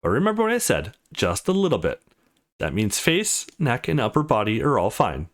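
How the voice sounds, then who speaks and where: A man's voice: mid-range voice, huge pitch swings, normal speed. A man voicing an easygoing young man in a visual novel who plays a bossy teacher, mock-stern but laid-back.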